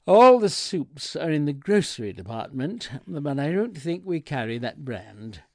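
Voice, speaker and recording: A man voicing a half-deaf, dismissive shop floorwalker in a comic story, brusque and shouting. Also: mid-range voice, lively intonation, measured pace, gravelly, no echo, studio quality. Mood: loud bafflement.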